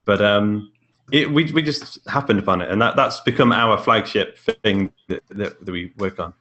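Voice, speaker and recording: A man with a British accent and a fairly low voice. He talks casually as a guest, stopping and repeating words as he explains things. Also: moderate pace, bright, forceful tone, dull audio. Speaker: podcast guest, indie horror author. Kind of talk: casual chat.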